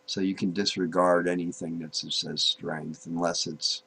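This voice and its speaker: A man giving an informal, unscripted software tutorial, thinking aloud, halting and repeating himself as he goes. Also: low voice, flat delivery, creaky, husky. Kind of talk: informal screen-recorded tutorial, unscripted walk-through.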